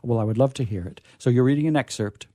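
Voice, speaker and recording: A man's voice: mid-range voice, quick pace, warm tone, no room sound, studio quality. A man hosting a radio readings show in a conversational way, with playful, florid phrasing. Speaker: host introducing readings.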